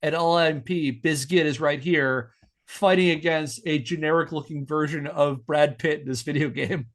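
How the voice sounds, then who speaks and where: A man's voice: a little high, fairly even intonation, normal speed. A man hosting a podcast, reading scripted narration with casual asides in a wry, emphatic storytelling manner. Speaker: podcast host.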